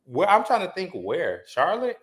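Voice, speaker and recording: A man's voice: sits high, huge pitch swings, moderate pace, fairly bright tone. A young man from the Midwest, a guest in a casual chat about reality TV, gossiping and acting out the voices of people he quotes.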